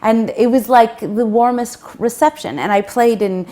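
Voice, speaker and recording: Animated woman singer guest. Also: fairly high pitch, moderate pace. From Toronto. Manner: animated, enthusiastic storyteller. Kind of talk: casual interview chat.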